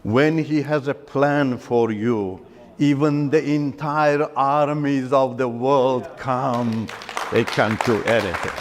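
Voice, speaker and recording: A middle-aged man from Iran, a Turkish speaker, giving an earnest personal faith testimony in halting, broken-up phrases. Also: medium pitch, fairly even intonation. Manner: earnest, halting, breaks up sentences with pauses and restarts. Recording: long hall reverb.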